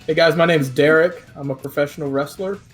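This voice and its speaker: A man talking casually about his game plan, eager and energetic. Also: on the high side, fast, soft background music. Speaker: player in a online game contest.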